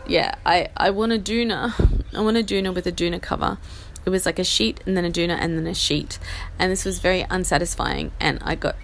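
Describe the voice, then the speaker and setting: An Australian woman in her forties, husky from a chest infection, rambling through a self-deprecating update from a small outdoor patio. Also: lowish voice, slight background noise. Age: 40s. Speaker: podcast host. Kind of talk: casual personal update. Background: faint rain.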